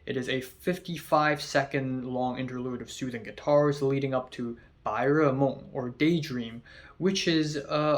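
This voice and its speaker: A young man talks through an album review in a loose, chatty way, with plenty of ums and uhs and the odd casual curse. His pitch sits in an ordinary middle range for a man. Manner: chatty, lots of fillers, casual swearing. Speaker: album reviewer, channel host.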